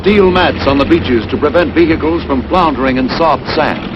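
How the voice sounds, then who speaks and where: A man's voice: on the high side, normal speed. A man narrating a wartime training film in an emphatic, punchy 1940s newsreel-announcer style. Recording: dull, hissy 1940s film soundtrack.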